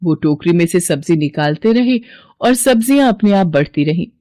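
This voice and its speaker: Female storyteller for scripted moral tales, lowish pitch, very dry studio-close sound. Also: lively intonation, moderate pace, mellow, dry recording.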